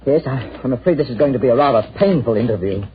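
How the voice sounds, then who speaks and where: An actor playing a polite, well-bred lord of the manor in 1940s radio style, courteous and a little hesitant. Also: male voice, mid-range voice, animated, fast, natural voice. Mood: uneasy. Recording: noisy, muffled 1940s radio recording.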